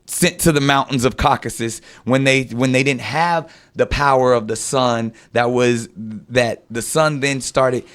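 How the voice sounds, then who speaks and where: A man's voice: mid-range voice, measured pace, few pauses, a bit bright. A man arguing a point in conversation, speaking emphatically and repeating phrases for weight. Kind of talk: conversational argument.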